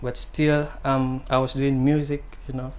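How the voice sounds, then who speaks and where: A young man from the Gambia, a singer-songwriter, answering interview questions softly and hesitantly, with many pauses and ums. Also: mid-range voice, flat delivery.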